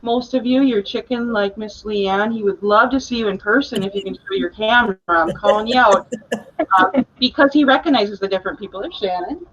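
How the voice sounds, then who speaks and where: Female teacher, informal and encouraging, average pitch, muffled video-call sound. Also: brisk, fairly bright tone. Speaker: special education teacher presenting. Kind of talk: casual presentation on a video call. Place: indoor school room, on a video call. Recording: dull webcam video-call audio.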